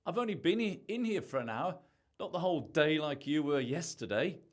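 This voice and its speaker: A man's voice: fairly high pitch, lively intonation, brisk, lightly acted. A man in an amateur radio play acting a defensive, sarcastic husband who is hiding something. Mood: irritated, sarcastic. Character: evasive, irritable husband hiding a secret.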